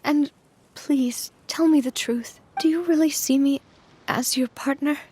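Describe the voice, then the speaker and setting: A woman voicing a kind, resolute young female leader in an anime game, speaking in an earnest, measured way. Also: high-pitched, fairly even intonation. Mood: weary, sincere. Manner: earnest, gentle, measured with soft pauses. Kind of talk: dramatic anime game voice acting. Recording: light music behind.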